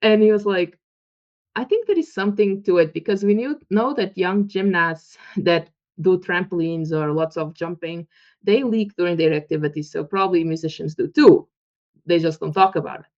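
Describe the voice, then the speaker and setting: A woman's voice: on the low side, moderate pace. Portuguese lady in her 30s chatting as a guest, acts out what people said, kinda dull lo-fi audio. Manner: animated storyteller, acts out quoted dialogue, laughs often. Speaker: guest researcher. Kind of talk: casual interview chat. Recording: muffled lo-fi audio.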